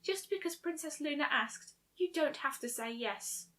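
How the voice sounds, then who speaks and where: A shy young pegasus mare from a storybook, put on by a woman, high-pitched and soft. She speaks gently and hesitantly, full of quiet concern. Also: expressive, moderate pace. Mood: uneasy, concerned. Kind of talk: fan fiction story reading.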